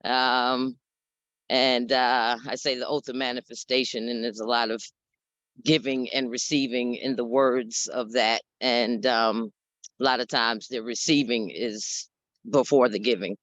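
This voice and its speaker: Deep-voiced woman with a New York accent. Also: steady tone, measured pace, a bit bright, no echo. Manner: straightforward, reflective, laughs between thoughts. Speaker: group participant. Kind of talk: casual sharing in a video call.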